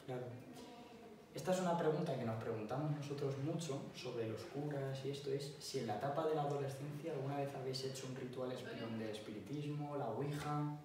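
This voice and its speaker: A young man with an accent from Spain puts casual questions as an interviewer. His voice sits at a middling pitch. The room echoes heavily and he sounds slightly distant, with faint noise behind. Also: fairly even intonation, fairly quick, a little mellow. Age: teens to young adult. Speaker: interviewer, YouTuber. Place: indoor hall with wooden bench. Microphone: small handheld mic.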